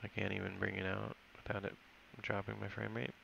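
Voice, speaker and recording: A man's voice: fairly low pitch, flat delivery, brisk, vocal fry, fairly bright tone, highs cut off, slight background noise. An American man from California, rambling and correcting himself as he thinks aloud in a casual game dev log recorded at his computer. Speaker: game developer.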